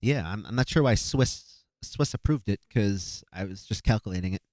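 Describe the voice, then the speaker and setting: A man's voice: on the low side, normal speed, darkish tone, dry recording. A man hosting a live stream, judging beatbox entries and thinking aloud with hesitant fillers and restarts. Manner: calculating aloud while reviewing clips. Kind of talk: casual live-stream commentary.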